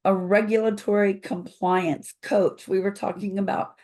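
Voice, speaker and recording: An older woman, a company founder, speaking slowly and thoughtfully over a video call with a froggy voice from recent illness, pausing often with fillers. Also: mid-range voice, fairly even intonation, darkish tone. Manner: slow, thoughtful, many pauses and fillers. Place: home office with bookshelves.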